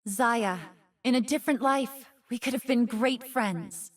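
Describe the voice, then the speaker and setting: A woman voicing a young nine-tailed fox woman in a video game, soft-voiced, friendly and wise, with playful teasing. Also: a little high, measured pace, far from the mic. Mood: gentle, wistful. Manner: friendly, playful, dramatic delivery. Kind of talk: video game character voice lines.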